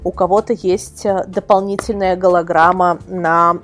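A woman's voice: on the low side, flat delivery, moderate pace. Chatty woman explaining, music behind. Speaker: guest expert, portal editor. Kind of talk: casual podcast interview, explaining. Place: remote video call.

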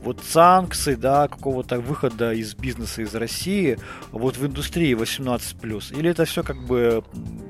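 Man, podcast host, informal interviewer, conversational, mid-range pitch, faint music in background. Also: normal speed, hardly any pauses. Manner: conversational, addresses guest informally. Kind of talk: casual interview questioning.

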